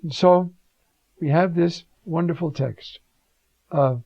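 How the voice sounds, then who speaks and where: A man's voice: on the high side, slow, vocal fry, dry recording, lo-fi sound. A man who is a priest, preaching on the Sunday readings in a conversational way, often adding "you see" and "uh" between thoughts.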